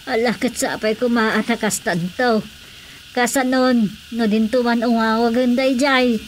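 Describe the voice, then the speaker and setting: A woman's voice: a little high, fairly even intonation, measured pace, a little hiss or hum, lightly acted. A woman with an Ilocano Filipino accent, acting a fretful older mother in a radio comedy drama. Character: fretful older mother, fussing over her stubborn husband.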